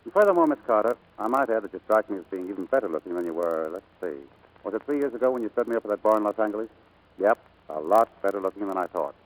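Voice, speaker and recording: A man acting a brash, lovestruck American navigator in 1940s radio drama style, brisk, cocky and insistent. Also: on the low side, expressive, close to an everyday voice, low-quality recording. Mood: flirtatious.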